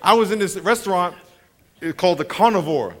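Animated high-voiced male preacher. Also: a little fast. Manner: animated storyteller, acts out voices, laughs often. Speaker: pastor. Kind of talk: sermon with comic stories.

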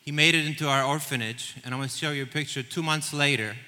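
Man, earnest storyteller, crisp and bright tone, church-hall reverb. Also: fairly high pitch, fast talker. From Poland. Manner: earnest, storytelling, with small restarts. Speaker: missions leader. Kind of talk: onstage interview in church. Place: large church auditorium.

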